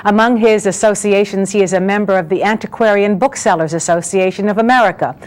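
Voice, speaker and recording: A woman's voice: mid-range voice, fast talker, a little mellow. A woman presenting and interviewing as a talk show host, with polished, articulate delivery. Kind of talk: scripted introductions and interview questions.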